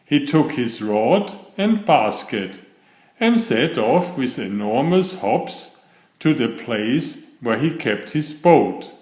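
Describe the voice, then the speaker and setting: Male children's storyteller, unhurried read with animated intonation, echoey room sound. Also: mid-range voice. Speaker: storyteller reading children's picture books. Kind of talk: scripted children's story narration. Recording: roomy echo on the voice.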